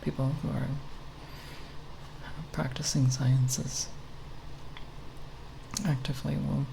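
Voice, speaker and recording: A young woman talking softly in a quiet, unscripted ASMR ramble, hesitant and self-deprecating, sometimes breathy. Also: very deep voice, slow pace. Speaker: ASMR video creator. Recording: steady background noise with tapping sounds. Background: plastic bottle tapping sounds.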